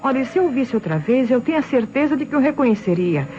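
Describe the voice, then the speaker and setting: A woman's voice: high voice, big pitch swings, fast, warm tone. An actress playing an anxious, insistent married woman giving testimony to police, with a Brazilian accent and earnest old radio-drama delivery. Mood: earnest, nervous. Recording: dull vintage radio recording, faint music.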